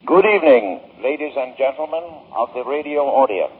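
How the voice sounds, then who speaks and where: A man announcing in formal 1930s radio oratory, declaiming in measured, broken phrases. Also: medium pitch, expressive, husky. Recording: dull, reverberant old radio recording.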